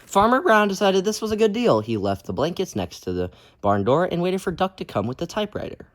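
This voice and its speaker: A man's voice: sits high, big pitch swings, fairly quick. A man hosting a children's read-aloud, reading expressively and speaking in an encouraging way. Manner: encouraging, addressing listeners as friends.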